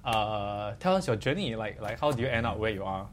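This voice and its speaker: A man's voice: lowish voice, lively intonation, a little fast, slight background noise. A man hosting a casual interview, curious and teasing with lots of fillers. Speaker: interviewer host.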